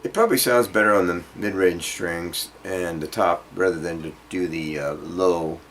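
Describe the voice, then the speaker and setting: A man's voice: low voice, normal speed. A man giving an informal guitar lesson, explaining piece by piece in a hesitant way as he demonstrates. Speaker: guitar teacher. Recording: loud guitar playing alongside voice. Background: acoustic or jazz guitar playing.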